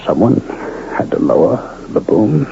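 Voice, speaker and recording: A courtly, sardonic frontier doctor, with a mellow voice and very expressive pitch. He speaks with measured politeness on a muffled old radio drama recording. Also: gravelly, slight background noise, full character voice. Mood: weary, tender. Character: wry, courtly Western doctor and card player.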